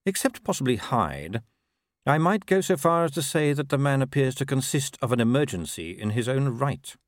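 A man voicing a wily, urbane ruler of a city in an audiobook, measured, deadpan and ironic. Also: medium pitch, no echo, clean studio sound, pitched above the performer's own voice, lightly acted. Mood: deadpan, mocking.

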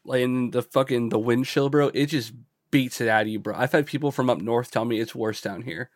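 A man's voice: mid-range voice, a little fast, dry recording. Congested man chatting casually, dry close sound. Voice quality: congested from a cold. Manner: slangy, swearing, says "bro" and "dude". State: getting over a cold. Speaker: podcast co-host. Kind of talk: casual chat.

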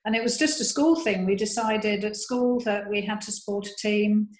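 A woman's voice: mid-range voice, flat delivery, moderate pace, darkish tone, echoey room. A woman fan telling chatty, amused anecdotes in casual conversation. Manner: chatty, amused, laughing between stories. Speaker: fan guest.

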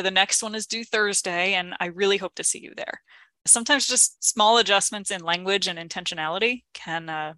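Female podcast co-host, bright crisp edge, medium pitch, casual educator chat. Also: no echo, poor audio.

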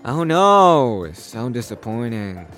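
A man's voice: mid-range voice, big pitch swings, takes it slowly, fairly bright tone, faint music behind. A man playing an outspoken, principled young friend with a dry manner in an audio drama. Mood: scornful.

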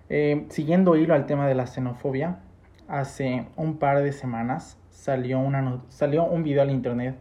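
A young man hosts a podcast at a middle pitch, his tone mellow and dark. He stresses his points and turns often to speak straight to his listeners. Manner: emphatic, addresses listeners directly. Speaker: podcast host. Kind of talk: podcast talk and commentary.

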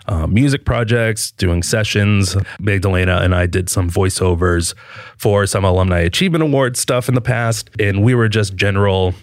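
A man hosting a podcast for a college alumni office, talking in a relaxed, friendly way. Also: low-pitched, no echo, studio quality. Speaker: podcast host, alumni affairs staff. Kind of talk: casual chat.

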